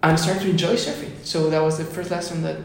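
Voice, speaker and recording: Excitable young man from Ecuador, creaky voice. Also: on the high side, fast. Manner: excited, says "dude" often. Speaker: musician guest. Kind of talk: casual podcast chat. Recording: very echoey room.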